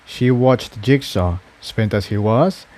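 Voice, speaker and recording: A man's voice: fairly low pitch, slow pace, a little mellow. A man reading an adventure story aloud as a scripted narration.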